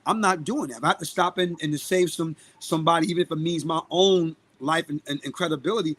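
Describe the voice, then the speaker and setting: A man with a Brooklyn accent talks in a podcast interview. His voice sits a bit on the high side. He is outspoken and emphatic, hammering points home by repeating phrases. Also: fast, a bit bright. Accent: Brooklyn, New York. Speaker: guest, singer and music producer. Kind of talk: live podcast interview talk.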